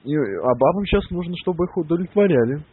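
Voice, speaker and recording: Husky young man needling over the phone. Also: on the high side, animated, quick pace, dry recording. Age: 20s. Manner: needling, mock-innocent questioning. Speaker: prank caller. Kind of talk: prank phone call. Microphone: telephone. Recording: tinny phone line.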